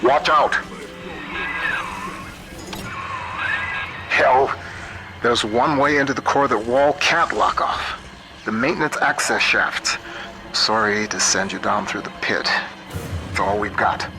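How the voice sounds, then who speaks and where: A man playing an earnest, world-weary computer scientist in a dystopian video game, speaking in a measured, folksy, plain way. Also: mid-range voice, over music, close to an everyday voice, poor audio. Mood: urgent, guiding. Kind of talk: video game voice acting, radio messages and audio diaries.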